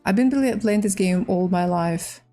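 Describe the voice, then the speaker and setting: A woman with a Russian accent, a native Russian speaker, hosting a casual chess livestream in halting, hesitant English. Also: medium pitch, warm tone, soft background music. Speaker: livestream host and chess player. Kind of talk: casual livestream chat while playing chess.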